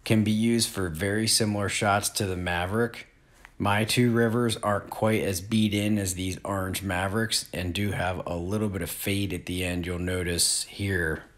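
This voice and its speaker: A man in his forties giving a relaxed, plain-spoken disc golf lesson outdoors in an open field, recorded on a nearby camera. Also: deep voice, moderate pace. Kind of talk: outdoor instructional talk.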